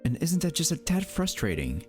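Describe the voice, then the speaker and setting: A man's voice: fairly high pitch, wildly swooping pitch, brisk, a little mellow, faint music behind. A man delivering scripted documentary narration in a wry, theatrical manner, with rhetorical asides. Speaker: narrator of a mystery documentary channel.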